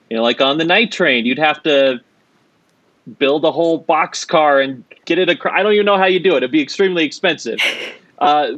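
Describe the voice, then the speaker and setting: A man hosting an interview, chatty and friendly, quick to laugh. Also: mid-range voice, a bit bright, dry recording, low-quality recording. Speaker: interview host. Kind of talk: casual interview chat.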